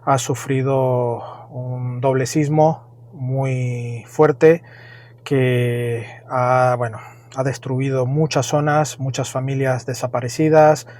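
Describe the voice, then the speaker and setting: A man's voice: medium pitch, fairly even intonation, takes it slowly, a little mellow. Chatty Venezuelan man reviewing a car. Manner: chatty, explaining details with tag questions. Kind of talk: casual car review presenting.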